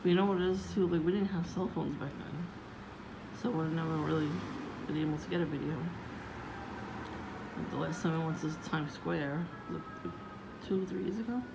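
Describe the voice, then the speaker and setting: New lady chatting low into her phone, rambly, lots of like and you know, noisy recording. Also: steady tone, normal speed, vocal fry. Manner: chatty, meandering, frequent fillers and restarts. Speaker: vlogger. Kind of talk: casual rambling vlog. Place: outdoors, windy.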